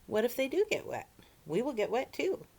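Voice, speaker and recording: Woman playing a helpful man, practical, a little doubtful, raised lively pitch, storybook character. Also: normal speed.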